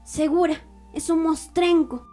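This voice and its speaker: High-voiced vengeful village mother played by a woman. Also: steady tone, a little slow, dark tone, soft background music. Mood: resolute, vengeful. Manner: deferential yet determined. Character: vengeful grieving village mother hiring a killer. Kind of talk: radio drama acting.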